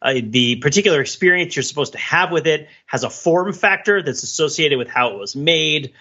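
A man with a forceful, bright and slightly high-pitched voice co-hosts a casual podcast. He talks in a wry, wordy, analytical way. The audio is a little dull and compressed. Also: animated, brisk. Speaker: podcast co-host. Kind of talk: casual conversational podcast talk.

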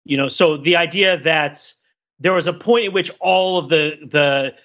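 A man's voice: on the high side, measured pace, fairly bright tone, lo-fi sound. A man talking casually as a podcast co-host, discursive and jokey, wandering through asides.

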